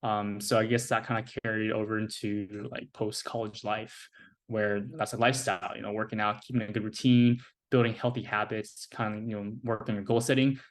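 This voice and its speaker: A young man with an American accent and a fairly low voice talks as a podcast guest. His delivery is casual and easygoing, scattered with fillers as he thinks aloud. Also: brisk, low-quality recording. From United States. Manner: relaxed, filler-heavy speech with many "like" and "you know". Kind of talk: casual conversational interview.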